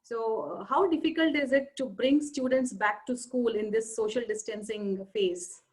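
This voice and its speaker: A woman's voice: fairly high pitch, normal speed, a little mellow. Woman hosting a video-call interview. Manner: frequent short affirmations, sums up guest's points. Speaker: interview host. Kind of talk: friendly interview questions.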